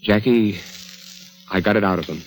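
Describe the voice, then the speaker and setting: An actor playing an evasive, defensive husband in 1940s radio drama style, hesitant and snappish. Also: male voice, fairly low pitch, normal speed, natural voice, rough recording. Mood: uneasy, insistent. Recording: vintage radio broadcast with music.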